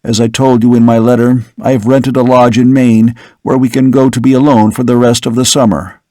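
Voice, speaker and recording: A tired, brooding older father who speaks in a soft, grave tone, as a male narrator puts him on. His voice is of middling pitch for a man. The storybook reading is recorded close and dry. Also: level tone, brisk, no echo, close to an everyday voice. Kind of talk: audiobook character reading.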